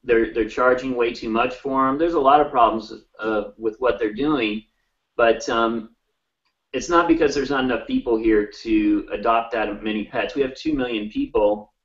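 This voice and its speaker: A man's voice: medium pitch, moderate pace. A man in his thirties answering as a podcast guest in a casual interview over a video call from home. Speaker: podcast guest, animal advocate. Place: small room at home. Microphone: video call on computer, off mic. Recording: distant, dull video call audio.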